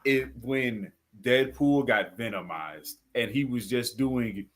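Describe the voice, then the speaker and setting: A man's voice: mid-range voice, takes it slowly. Young man chatting casually. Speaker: podcast co-host. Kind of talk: casual group chat.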